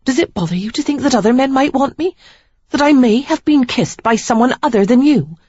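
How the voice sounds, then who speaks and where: A woman narrator voicing an ardent, defiant young woman in an audiobook, speaking high and emphatically. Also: normal speed, warm tone, breathy edge, no room sound. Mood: defiant, angry. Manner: pleading then fiery, high and emphatic. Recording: slightly dull lo-fi recording.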